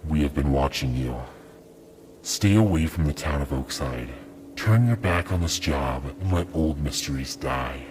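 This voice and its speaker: An actor playing a menacing anonymous villain in an audio drama, with an ominous, deliberate delivery. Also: male voice, very deep voice, expressive, darkish tone, a little hiss or hum. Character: menacing anonymous threat-maker voicing a warning note.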